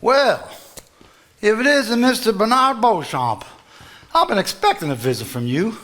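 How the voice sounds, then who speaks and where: An actor playing a smug lawyer in a live stage drama, loud, arch and theatrical. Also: male voice, high voice, big pitch swings, a little slow, heavily acted. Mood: smug welcome.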